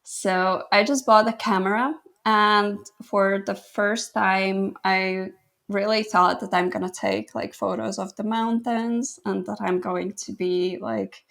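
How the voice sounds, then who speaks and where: A woman's voice: medium pitch, steady tone, slow pace, gravelly, a bit bright. A woman in her twenties from Latvia, a guest photographer telling her story in a modest, laughing way. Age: 20s. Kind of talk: casual interview chat.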